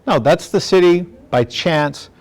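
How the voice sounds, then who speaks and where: A man's voice: a little high, measured pace, a little mellow. A middle-aged man giving an informal, direct community talk to parents as a law enforcement speaker. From National City, San Diego area, United States. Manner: plainspoken, direct, acts out imagined dialogue.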